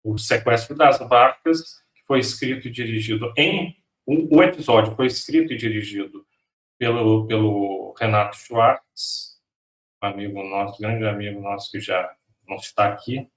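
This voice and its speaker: Stumbling filmmaker, distant mic in a roomy space. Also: male voice, on the low side, normal speed. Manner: hesitant, many restarts and stumbles. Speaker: filmmaker at a panel. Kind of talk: answering questions in a panel talk. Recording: echoey room, far from mic.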